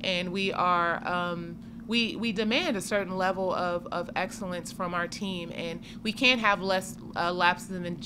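Low-voiced woman coach at a press conference. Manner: measured, steady, many "I mean" and "you know". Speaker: head coach. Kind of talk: postgame press conference answers. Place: press conference room with sponsor backdrop. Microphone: table mic in front of her. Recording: noisy room ambience.

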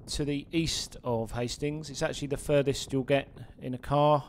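A man narrating a sea fishing guide as a voice-over, relaxed and full of ums and uhs. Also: medium pitch, moderate pace, a little hiss or hum.

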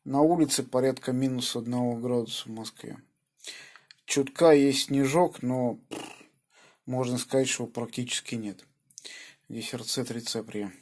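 A man's voice: mid-range voice, steady tone, normal speed, long pauses, darkish tone. A man chatting casually as an amateur radio operator, spelling call signs with names. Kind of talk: casual ham radio contact. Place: indoors at a home radio desk.

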